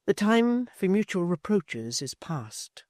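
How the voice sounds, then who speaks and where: A man voicing a proud, bitter young woman in a storybook reading, speaking low and steady. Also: takes it slowly, no echo, studio quality, exaggerated voice. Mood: cold. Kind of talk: audiobook character voice.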